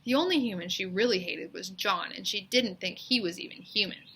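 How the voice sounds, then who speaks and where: A woman reading a children's story aloud as a teacher, in expressive narration. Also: medium pitch, a little fast, a little hiss or hum.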